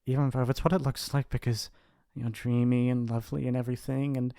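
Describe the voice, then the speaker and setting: A man's voice: mid-range voice, level tone, brisk, vocal fry, warm tone, dry recording, lightly acted. Man acting a shy, doting young mafia heir, dry close-up. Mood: shy, flustered. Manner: hesitant, earnest, stammering, affectionate. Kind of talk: intimate ASMR roleplay monologue. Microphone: close studio mic, dry.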